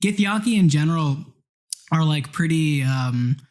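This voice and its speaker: A man's voice: a little high, animated, a little slow, darkish tone. A man in casual conversation as a podcast player, describing his tabletop role-playing character.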